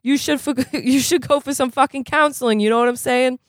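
Reader putting on a cussing hothead guy in recovery, pitched up higher, rambles on and on. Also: fairly even intonation, a little fast, no echo, studio quality, close to an everyday voice. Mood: heated. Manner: profane, keeps asking "you know what I'm saying?". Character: crude, rambling man in recovery, quick to rage. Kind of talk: reading a novel aloud, putting on a character.

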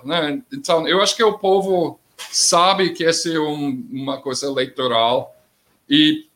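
A middle-aged man speaking Portuguese with a non-native accent, commenting as a guest in a political discussion, plain-spoken with halting grammar. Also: fairly high pitch, fairly bright tone. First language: not Portuguese.

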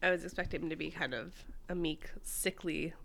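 A woman's voice: low voice, fairly even intonation, a little fast, creaky, fairly bright tone. A young woman co-hosting a podcast, agreeing easily and often dropping into a near-whisper. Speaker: podcast co-host. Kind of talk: casual chat recapping a sitcom episode.

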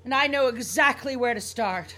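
A woman's voice: on the high side, normal speed, bright, forceful tone. An actress playing a ruthless female robot rabbit revolutionary in a comic radio play, theatrical, commanding and sneering. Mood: villainous zeal.

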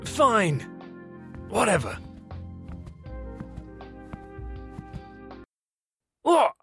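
A man's voice: high-pitched, huge pitch swings, slow pace, gravelly, bright, forceful tone, faint music behind, exaggerated voice. An actor playing a crude, sleazy, insolent male hotel guest in an audio drama. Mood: sullen, grudging.

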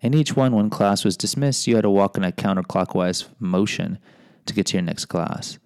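A man's voice: on the low side, normal speed, darkish tone, smooth, no room sound, studio quality. A middle-aged American man hosting a podcast, reading a personal memoir with rambling, self-deprecating asides. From United States. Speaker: podcast host and teacher.

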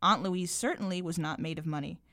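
A woman's voice: fairly low pitch, brisk, fairly bright tone, no room sound, pitched below the performer's own voice. Whiny, earnest little girl played by a woman, storybook reading, stubborn and literal, dry close sound. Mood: puzzled, earnest. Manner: whining, indignant. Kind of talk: short story read aloud.

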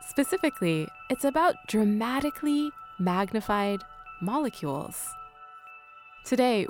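A woman hosts a scripted radio documentary at an ordinary mid pitch. She narrates as a presenter, with faint music running behind her voice. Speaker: host.

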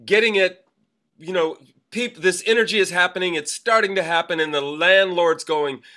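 A man with a high, bright voice hosting a conversational podcast. He tells a chatty anecdote with a wry edge before steering it into a question. His tone stays clear and forward. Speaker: podcast host. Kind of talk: casual conversational interview.

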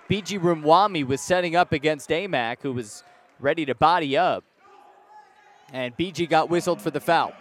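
American guy on radio doing hoops play by play, kinda high voice, colorful folksy wording. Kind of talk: live basketball play-by-play commentary. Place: courtside in a sports arena.

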